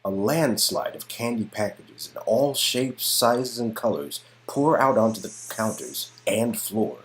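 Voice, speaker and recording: A man reads scene descriptions from an interactive story game. His voice is on the low side, and the delivery is scripted storytelling. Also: animated, a little slow, poor audio. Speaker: narrator of a game-dialogue performance.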